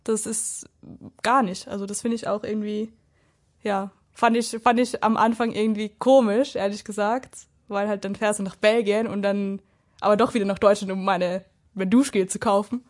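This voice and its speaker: A woman's voice: medium pitch, steady tone, normal speed, a little mellow. A young woman chatting casually, thinking aloud with many fillers. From Austria, border region with Czechia and Germany. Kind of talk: casual chat.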